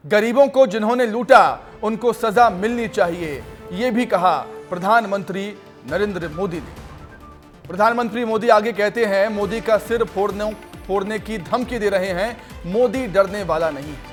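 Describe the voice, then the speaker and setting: A man presents television news in an emphatic, declarative, scripted anchor style. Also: high-pitched.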